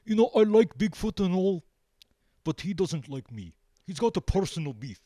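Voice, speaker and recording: Deadpan man, mellow and close. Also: sits high, normal speed, no room sound. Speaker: band member, show guest. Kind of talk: comic in-character interview.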